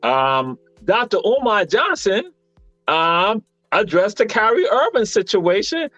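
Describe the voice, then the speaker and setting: A man's voice: sits high, big pitch swings, slow pace, sharp tone, no echo, muffled recording, faint music behind. A man hosting a casual sports chat show, rambling and restarting his sentences. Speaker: show host.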